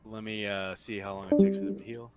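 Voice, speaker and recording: A man narrating a tabletop roleplaying game as game master, improvising in an informal, halting way. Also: lowish voice, big pitch swings, over loud music.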